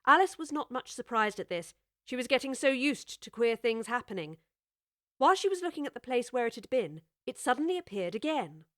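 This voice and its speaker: Brief: female narrator, storybook reading, fairly high voice, dry studio mic. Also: sing-song, no echo. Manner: measured, clear storytelling.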